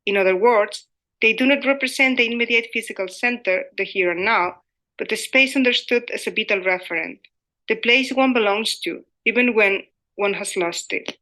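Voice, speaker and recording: A woman's voice: a little high, moderate pace, bright, forceful tone. A woman from Spain, a native Spanish speaker, reading a scripted conference paper in a formal, careful way as an academic researcher. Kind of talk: scripted conference presentation read aloud.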